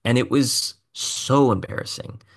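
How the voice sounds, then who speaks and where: A man's voice: medium pitch, measured pace, creaky. A man, a singer being interviewed, telling a story in a chatty, self-deprecating way with loose fillers. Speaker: singer and musician guest.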